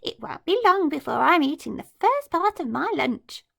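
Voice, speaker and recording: A woman's voice: sits high, sing-song, moderate pace, gravelly, fairly bright tone, voice pushed up, full character voice. A woman voicing a greedy, scheming male crocodile in a children's storybook reading, gloating to himself. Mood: sly gloating. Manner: gloating, sly asides to himself.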